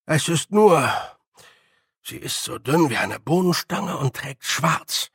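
Audiobook role: rustic, defiant old man played by a male reader, slow delivery, deferential but cheerful, close dry sound. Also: fairly high pitch, lively intonation, creaky, a bit bright, breathy edge, no room sound, exaggerated voice. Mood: meek, agreeable. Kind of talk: audiobook character voice.

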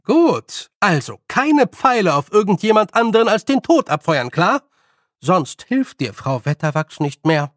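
A man voicing a brisk, bossy, good-humoured old woman witch with a loud, teasing and scolding manner, in an audiobook. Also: high-pitched, big pitch swings, no echo, studio quality, pitched above the performer's own voice, heavily acted. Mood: stern scolding. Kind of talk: audiobook character voice.

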